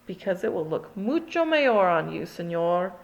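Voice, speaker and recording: A woman's voice: fairly low pitch, big pitch swings, moderate pace, gravelly, lo-fi sound. A woman voicing a boastful little boy kitten who thinks he is a chihuahua, in a bouncy, proud manner. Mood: alarmed.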